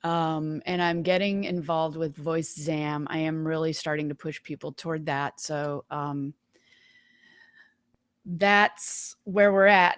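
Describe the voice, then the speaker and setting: A woman hosting a webinar, giving a casual live software demonstration in an informal, folksy way, thinking aloud. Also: deep voice, clean tone, dry recording. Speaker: webinar host.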